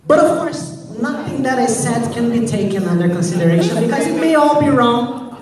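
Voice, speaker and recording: A man's voice: very high voice, expressive, moderate pace, darkish tone. A man, a teacher, giving a self-mocking, jokey presentation on stage. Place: large hall, on stage. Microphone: far audience camera. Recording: echoey hall from far off.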